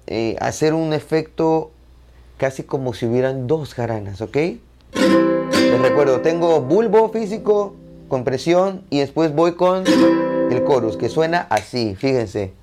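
Male demo voice, explanatory, loud strummed strings behind. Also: mid-range voice. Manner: explanatory, addresses viewers directly. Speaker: audio demonstrator playing a jarana. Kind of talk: instructional demonstration. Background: plucked jarana strumming.

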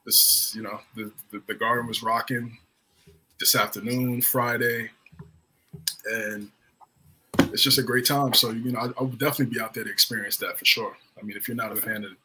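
A man co-hosting a casual sports podcast over a video call, excitable and prone to repeating himself. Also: mid-range voice, fairly quick, slight background noise, low-quality recording. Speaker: podcast co-host.

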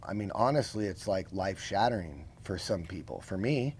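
A man's voice: low-pitched, lively intonation, fairly quick, darkish tone, slight background noise. A man as a podcast guest, explaining his views earnestly and often checking in with "right?" and rhetorical questions. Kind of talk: casual conversational interview.

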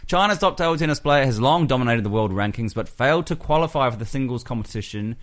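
A man's voice: medium pitch, lively intonation, fast talker, fairly bright tone. A man co-hosting a casual sports podcast, chatting and reading news items aloud with jokes. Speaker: podcast co-host.

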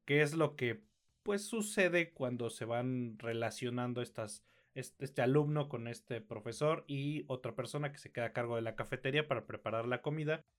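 A man with a Mexican accent and a mid-pitched voice talks through a film review as a podcast co-host. His delivery is conversational and informal, with slang and a habit of working out his thoughts aloud. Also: moderate pace.

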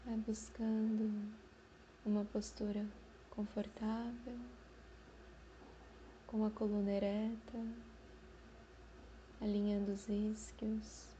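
A Brazilian woman guiding a meditation in a soothing, unhurried manner, with long pauses between short phrases. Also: mid-range voice, completely flat delivery, mellow, muffled recording, a little hiss or hum. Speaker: meditation guide. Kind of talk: slow guided meditation.

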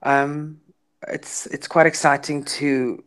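A woman's voice: deep voice, fairly even intonation, takes it slowly. A woman from South Africa with an Afrikaans accent, a native Afrikaans speaker, talking enthusiastically as a podcast guest and sometimes searching for words. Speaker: podcast guest, witchcraft teacher. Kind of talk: casual podcast conversation.

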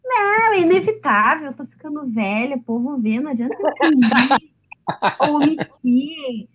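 A woman in her late thirties is chatting on a podcast, her voice sitting fairly high. She jokes at her own expense and laughs easily. The recording is dull and lo-fi. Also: animated, normal speed, a little hiss or hum. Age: 30s. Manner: chatty, self-deprecating, laughs often. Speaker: podcast guest. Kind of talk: casual interview.

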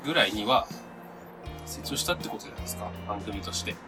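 A man chats casually as a podcast co-host, his pitch swinging widely. He is animated and tends to put himself down. Music plays clearly behind him. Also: fast talker. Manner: animated, self-deprecating. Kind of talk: casual chat among friends.

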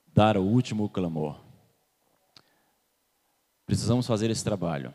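Low-voiced preacher in a reverberant church. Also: male voice, darkish tone. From Salvador, Bahia, Brazil. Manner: questions the congregation and waits for replies. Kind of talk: sermon. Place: church hall. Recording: long hall reverb.

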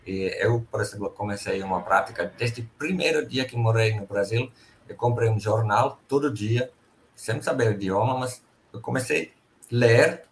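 A man's voice: mid-range voice, moderate pace, slight background noise. A middle-aged man from Finland with a Finnish accent, speaking Portuguese as a second language and telling stories as an interview guest. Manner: relaxed storytelling, careful non-native phrasing. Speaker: guest, company sales director. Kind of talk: casual interview chat.

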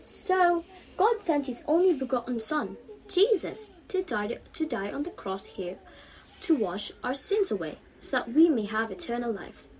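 A woman's voice: sits high, very animated, a little slow, darkish tone, low-quality recording. A teenage girl voicing a kind, devout young schoolgirl in a storybook, earnest and emphatic.

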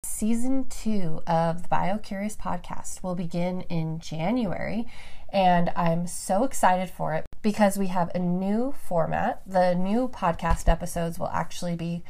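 A woman's voice: fairly low pitch, a little slow, a little mellow. A woman hosting a podcast, talking upbeat and enthusiastic while stuffed up from allergies. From Nevada, USA. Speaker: podcast host. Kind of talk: solo podcast talk.

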